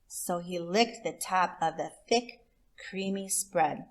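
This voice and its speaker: A woman reading a picture book aloud to children as host, expressive, with deliberate emphasis on words. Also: lowish voice. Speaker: children's story reader and host.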